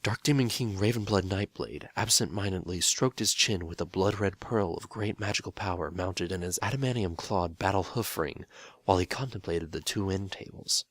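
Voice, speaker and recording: A man narrating a dramatic reading of a story in a steady, wry storytelling manner. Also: lowish voice, dry recording.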